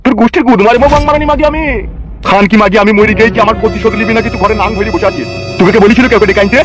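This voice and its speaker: A man plays a furious gangster politician in a homemade comedy cartoon. His voice sits fairly high and rattles along at extreme speed, crude and accusatory, over faint music. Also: very fast, close to an everyday voice. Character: furious foul-mouthed gangster politician back from feigned death. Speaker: cartoon voice actor. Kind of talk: amateur comedy cartoon dialogue.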